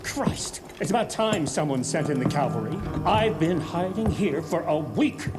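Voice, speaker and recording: A man's voice: fairly high pitch, expressive, moderate pace, loud music behind the voice, lightly acted. An actor playing a cowardly, greedy accountant in a video game, flustered, fussy and whining as he talks. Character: cowardly, greedy male accountant, indignant and panicky. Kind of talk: video game cutscene acting.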